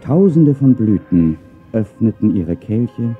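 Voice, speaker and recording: A man's voice: lowish voice, very animated, takes it slowly. A man narrating a children's audio drama expressively from a script. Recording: narrow phone-like sound, faint music.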